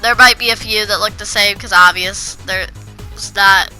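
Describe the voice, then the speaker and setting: A woman with a cold gives loose, rambling commentary over her building game. Her voice is very bright and a little congested. Faint music plays softly underneath. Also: mid-range voice, steady tone, unhurried, rough recording. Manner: rambling, with frequent restarts and filler. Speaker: gaming video creator. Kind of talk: casual voice-over commentary on gameplay.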